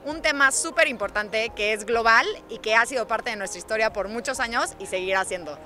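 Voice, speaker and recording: A woman's voice: fairly high pitch, brisk, pushed and bright. A female street reporter interviewing passersby and asking questions in an upbeat way in two languages. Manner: upbeat, switches between Spanish and English when asking questions. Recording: music playing underneath.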